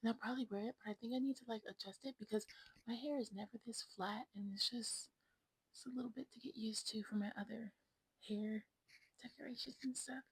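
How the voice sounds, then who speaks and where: Breathy sassy young woman, whispering asides. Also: on the high side, steady tone, brisk, no room sound, low-quality recording. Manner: sassy, drifts between whispers and loud asides. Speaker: vlogger. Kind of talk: casual chat to camera. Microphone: new desk mic.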